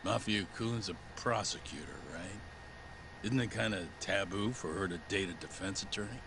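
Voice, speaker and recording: An actor playing a gruff male colleague in a crime drama game, talking in a blunt, teasing way. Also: deep voice, moderate pace. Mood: blunt, questioning. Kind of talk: cinematic video game dialogue. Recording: clear background ambient noise.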